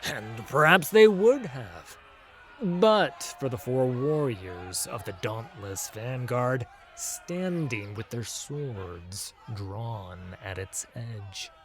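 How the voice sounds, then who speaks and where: A man narrating a fantasy story as a dramatic audiobook, in a measured, solemn manner. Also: medium pitch, animated.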